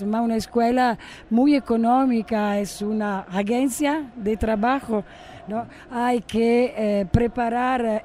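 A woman from Italy with an Italian accent, a high school teacher, talking hesitantly and searching for Spanish words. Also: warm tone. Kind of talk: street interview.

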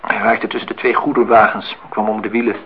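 A low-pitched man in his thirties played by an actor in a Dutch radio drama. He is guarded and worldly, with short, dry replies. The sound is a dull old recording with some noise. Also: flat delivery, fairly quick, lightly acted. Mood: reflective. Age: 30s. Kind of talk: Dutch radio play acting.

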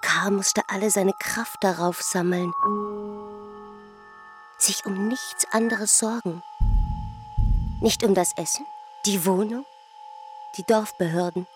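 A woman acts a brooding inner voice in a radio drama, speaking slowly in halting murmurs. Her pitch is middling, and music plays clearly behind her. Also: natural voice. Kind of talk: German radio play acting.

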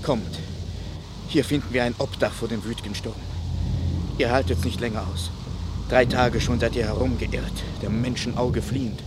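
A man's voice: mid-range voice, fairly even intonation, normal speed, very noisy, close to an everyday voice. An actor playing a loyal, earnest companion who pleads with and consoles a young warrior woman, in a classic verse radio drama. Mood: urging.

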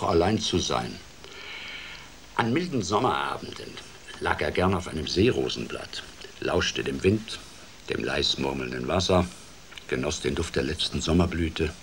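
An actor playing a playful storyteller who tells a children's fairy tale in an expressive, theatrical way for a radio drama. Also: male voice, very deep voice, a little hiss or hum, exaggerated voice.

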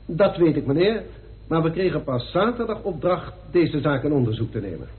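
A man's voice: fairly high pitch, very animated, normal speed. A man playing a deferential, matter-of-fact police inspector reporting his findings in a radio drama. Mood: deferential, defensive. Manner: respectful, factual, clipped reporting. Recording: tinny band-limited old radio recording.

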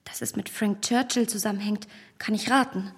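An actress playing a self-possessed, impatient young gentlewoman in a period radio drama, polite, articulate and insistent. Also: medium pitch, steady tone, moderate pace, slightly rough, in a large hall. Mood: anxious, insistent.

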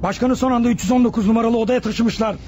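A man's voice: very high-pitched, steady tone, racing, a little mellow, a little hiss or hum. A male actor in a radio play as a criminal accomplice, a defensive schemer who explains and gives orders insistently. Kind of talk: radio theater acting.

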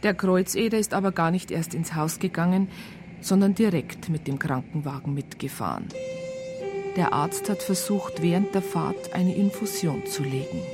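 An actress narrating a radio crime story as a folksy storyteller, husky, chatty and matter-of-fact. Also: female voice, fairly low pitch, lively intonation, moderate pace, music in the background, lightly acted. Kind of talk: colloquial scripted narration.

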